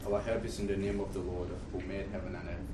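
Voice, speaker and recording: Cameroonian priest teaching in an echoey, noisy room. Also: male voice, low voice, flat delivery, fast. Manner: repeats phrases for emphasis. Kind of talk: religious class lecture. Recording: distant mic, echoey room, loud noise.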